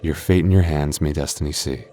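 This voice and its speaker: A man's voice: very deep voice, steady tone, brisk, warm tone, faint music behind. A man voicing a boyfriend in an ASMR roleplay, stammering through restarts and teasing playfully.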